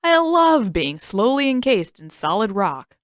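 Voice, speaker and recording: A woman's voice: medium pitch, big pitch swings, unhurried, dry recording, clean studio sound. A woman voicing a sarcastic, dramatic teenage boy who complains a lot in a fan fiction audiobook reading. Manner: sarcastic, whiny.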